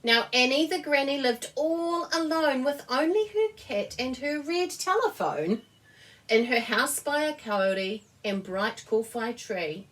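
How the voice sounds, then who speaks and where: A woman reading a children's picture book aloud as an expressive storyteller. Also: sits high, a little slow, distant mic, low-quality recording. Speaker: story reader.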